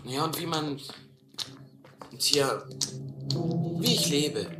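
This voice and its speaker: An actor playing a tough, cynical young drifter in a radio play, blunt and streetwise. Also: male voice, a little high, animated, very slow, very noisy. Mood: cynical, insistent. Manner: blunt, streetwise, self-justifying. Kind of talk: German radio play acting. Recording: roomy sound under loud music.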